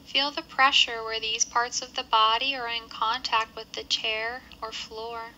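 Woman doing a guided meditation, very bright crisp-edged voice, a bit high, gentle step by step phrasing, faint noise behind. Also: flat delivery, low-quality recording. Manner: measured, instructional, gentle phrasing with pauses. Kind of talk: guided body scan meditation.

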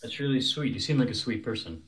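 A middle-aged man hosting a livestream, asking mock-serious, deadpan questions and thanking viewers for gifts. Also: medium pitch, quick pace, a crowd in the background. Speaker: livestream host interviewing. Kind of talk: improvised playful interview. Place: indoor room. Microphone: phone front camera.

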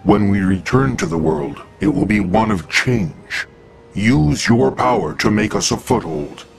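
An actor playing a solemn cosmic being in a superhero video game, speaking gravely and formally. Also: male voice, fairly low pitch, lively intonation, unhurried, music in the background.

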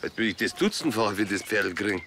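An actor with a Bavarian accent playing an evasive, hard-up horse farmer, defensive and halting. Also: male voice, lowish voice, expressive, sharp tone, slight background noise.

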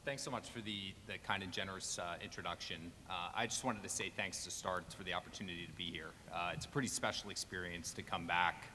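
A man in his late thirties giving informal, slightly halting opening remarks as a panelist at a stand microphone. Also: steady tone. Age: late 30s. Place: auditorium stage. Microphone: table stand microphone. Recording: echoey hall with faint rumble.

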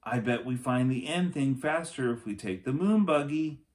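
A man's voice: medium pitch, lively intonation, normal speed, darkish tone, voice pushed up, close to an everyday voice. A man takes on a young storybook girl, full of daring and play. The voice lifts well above his own and moves in big swings. The delivery is bold and breezy. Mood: eager, breezy. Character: excited, playful, daring young girl explorer.

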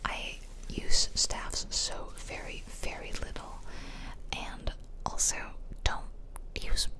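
A woman giving whispered ASMR game commentary with playful, casual asides, recorded close to the microphone. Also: slow pace. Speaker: gaming streamer. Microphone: close ASMR microphone, ear to ear.

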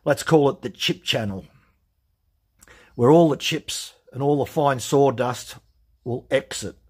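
A man explaining things step by step in a relaxed, plain-spoken way during a workshop demonstration. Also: medium pitch, a little slow, breathy edge. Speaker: chainsaw channel host. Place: workshop bench.

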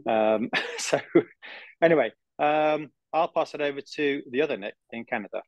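Halting man on a wobbly webcam. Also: fairly high pitch, no echo. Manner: halting, many fillers. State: recovering from knee surgery. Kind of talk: video call introduction. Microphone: handheld laptop webcam.

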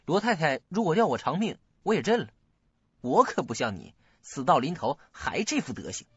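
A man's voice: fairly high pitch, sing-song, moderate pace, slightly rough, no echo, full character voice. An actor playing an emotional, troubled young man in a radio drama. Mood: bitter, accusing. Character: bitter, accusing young man, anxious son at home. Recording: dull, dry lo-fi audio, faint music.

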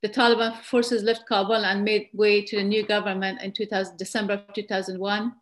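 A middle-aged woman from Afghanistan giving a slide presentation over a video call, earnest and measured, often restarting her sentences. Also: mid-range voice, level tone. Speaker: guest presenter. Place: indoor room at home. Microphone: webcam on a video call.

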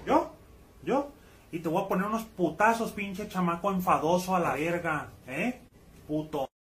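A woman's voice: fairly low pitch, measured pace, slight background noise, pitched below the performer's own voice, lightly acted. A woman voicing a menacing mother who mutters threats at a child.

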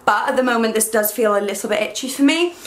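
A young woman with a croaky, hungover voice, chatting excitedly in a casual try-on haul vlog. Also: fairly high pitch, fast talker. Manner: excitable and gushing. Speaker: fashion vlogger. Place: small bedroom, indoors. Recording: echoey room.

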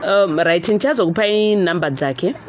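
A woman's voice: on the low side, moderate pace. A middle-aged woman with a Zimbabwean accent hosting a live call-in radio show, switching between Shona and English as she talks and reads out messages. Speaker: radio talk show host. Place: small radio studio. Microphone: studio microphone on boom arm. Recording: muffled studio audio with faint noise.

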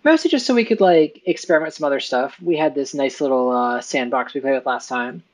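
Chill guy on a video call walking through his code, mid pitch, lots of likes and ums. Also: fast. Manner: relaxed, explaining, many fillers. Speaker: co-host of a game dev stream. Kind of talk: casual technical chat.

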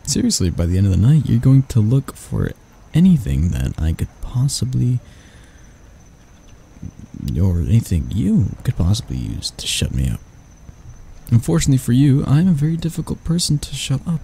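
A man playing a grumpy but soft-hearted barista, flustered and flirty, stammering and teasing in a romantic ASMR roleplay. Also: medium pitch, huge pitch swings, normal speed, vocal fry, husky, full character voice. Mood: playful teasing.

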